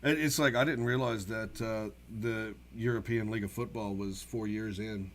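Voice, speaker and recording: A man's voice: on the low side, fairly even intonation, normal speed, a bit bright, a little hiss or hum. A man co-hosting a sports show, giving updates in a casual, conversational way.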